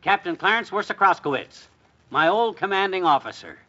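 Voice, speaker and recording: An actor playing an excitable, boastful American husband and war veteran in a folksy, blustering 1950s radio comedy style. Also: male voice, high voice, a little fast, exaggerated voice. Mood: excited, boastful. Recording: muffled vintage radio recording.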